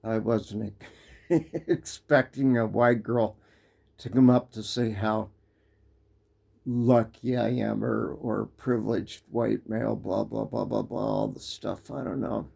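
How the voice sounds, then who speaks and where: An American man telling a personal story in a casual, halting way, full of restarts and fillers. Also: on the low side, steady tone, vocal fry, dark tone, husky. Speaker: vlogger. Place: living in Japan.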